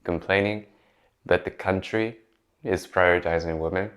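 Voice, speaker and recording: A young man with a Japanese accent speaks to camera in a relaxed, unscripted way. His voice sits very low. He pauses and restarts often, leaning on fillers. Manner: conversational, many fillers and restarts. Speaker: YouTuber. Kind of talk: casual talk to camera.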